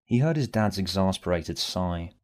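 A man's voice: sits low, lively intonation, normal speed. A man reading a scripted story aloud as its narrator, with music behind him. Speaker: author and narrator.

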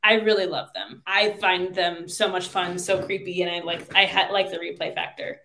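A woman speaking as a podcast guest, giving her opinions in relaxed, informal conversation. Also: lowish voice, moderate pace, slight background noise. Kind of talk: casual opinionated chat about games.

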